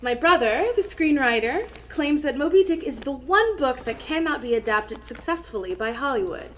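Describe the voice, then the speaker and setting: An actress playing a middle-aged woman literature professor in an audio play, lecturing with measured, emphatic academic diction and underlying emotion. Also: high voice, expressive. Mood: lecturing, impassioned.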